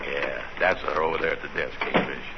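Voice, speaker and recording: A man's voice: very deep voice, fairly even intonation, brisk. A man acting in a 1940s radio comedy, playing a boastful fellow who talks in folksy, slangy grammar. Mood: bragging. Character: boastful, smooth-talking man trying to impress a girl. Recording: muffled old radio broadcast with hiss.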